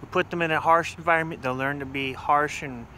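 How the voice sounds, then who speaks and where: Calm man vlogging from behind the camera. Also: on the high side, brisk. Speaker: vlogger filming on the street. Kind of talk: casual vlog narration. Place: city street outdoors. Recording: distant voice with loud street noise.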